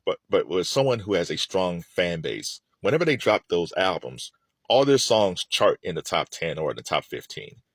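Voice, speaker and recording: Low-pitched man with animated, swooping intonation. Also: no room sound, clean studio sound. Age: middle-aged. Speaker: music commentator. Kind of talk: opinionated unscripted commentary to listeners.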